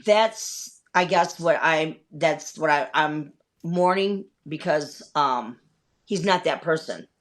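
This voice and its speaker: A woman's voice: low-pitched, slow. Candid swearing woman telling stories. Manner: candid storytelling with frequent swearing; rambling, with many fillers. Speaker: host. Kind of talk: casual chat.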